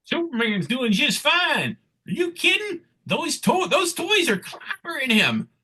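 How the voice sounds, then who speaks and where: A man voicing an eager, excitable little elf henchman with a raspy voice in a comic book read. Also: extremely high pitch, measured pace, exaggerated voice. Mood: alarmed. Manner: excitable, wisecracking. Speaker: performer in a dramatic comic book table read.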